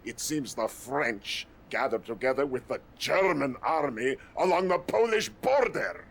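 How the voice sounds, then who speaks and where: A man's voice: medium pitch, expressive, a little slow, creaky, slightly rough, exaggerated voice. An actor playing a jovial, blustering male Soviet premier in a game cutscene, with a hearty, theatrical delivery. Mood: commanding. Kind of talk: full-motion video game cutscene.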